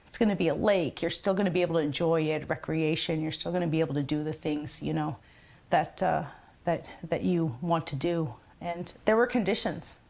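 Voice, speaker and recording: A woman recounts things off the cuff in a documentary interview. Her voice is a little low and her phrasing halts and picks up again. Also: a little mellow. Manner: halting, frequent "you know". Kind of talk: unscripted personal account.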